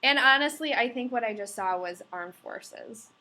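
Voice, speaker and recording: Woman, podcast co-host, bright, lively pitch, playful, faint background noise. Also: moderate pace. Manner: playful, giggly, teasing. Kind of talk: casual chat.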